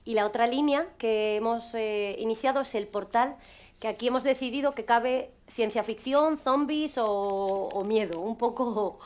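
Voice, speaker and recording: A woman's voice: fairly high pitch, a little slow, soft background music. A woman presenting at a conference, speaking hesitantly and trailing off at the ends of phrases. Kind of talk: conference talk.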